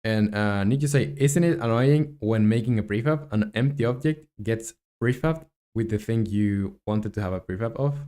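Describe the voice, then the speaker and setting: Guy w spanish as first language, kinda low voice, casual dev stream, stops and starts while thinking out loud. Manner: hesitant, halting, thinking aloud. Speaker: live streamer, game developer. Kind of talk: casual live coding stream, reading chat.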